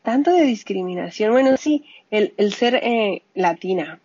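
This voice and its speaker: A woman's voice: mid-range voice, a little slow, breathy edge, no echo. A woman, a scientist and mother, telling stories in casual conversation and quoting herself. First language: Spanish. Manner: animated storyteller, quotes herself. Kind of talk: casual interview chat. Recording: muffled lo-fi dry audio.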